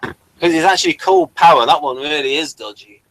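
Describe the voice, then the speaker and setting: A man's voice: fairly high pitch, wildly swooping pitch, a little fast, creaky, a bit bright, poor audio. A man hosting a casual, conversational review discussion. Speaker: podcast host.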